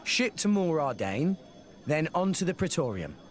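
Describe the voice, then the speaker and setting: A man's voice: fairly high pitch, big pitch swings, normal speed, slight background noise. An actor playing a friendly, earnest teenage boy salvager in a video game cutscene. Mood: upbeat, casual.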